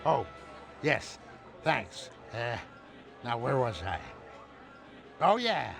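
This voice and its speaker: Doddery old cartoon superhero, creaky, swoopy heroic voice, absent minded. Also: male voice, medium pitch, big pitch swings, extremely slow, heavily acted. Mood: muddled. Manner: theatrical heroic declaiming, easily muddled. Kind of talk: cartoon game voice acting. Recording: loud music behind the voice.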